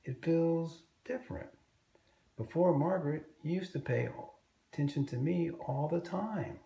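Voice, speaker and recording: Man as a young boy tiger, storybook character, raised pitch, excitable, earnest, childlike, exclaiming. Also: measured pace, creaky, echoey room. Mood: hurt, pleading. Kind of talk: children's storybook read-aloud.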